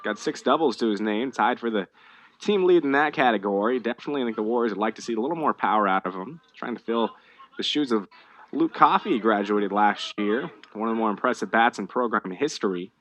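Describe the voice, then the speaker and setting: An American man calling live baseball play-by-play, conversational between pitches and more animated on the action. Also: brisk. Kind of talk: live sports commentary.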